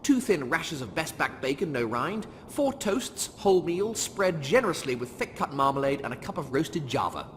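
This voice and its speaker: A smug, pompous officer, played by a male reader in a sci-fi comedy audiobook. He sneers with breezy sarcasm and animated intonation, over faint background noise. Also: on the high side, normal speed, few pauses, long reverb tail, voice pushed up. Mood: self-satisfied.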